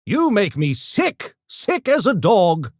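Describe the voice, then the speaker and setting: Pompous genteel gremlin for a game: unhurried, theatrical, extremely swooping intonation, mischievous politeness. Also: male voice, high voice, wildly swooping pitch, no room sound, clean studio sound, full character voice. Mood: smug, taunting. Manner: posh, pompous, theatrical, mock-polite diction. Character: urbane genteel mischievous gremlin, a genetically engineered intellectual creature. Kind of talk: video game character voice lines.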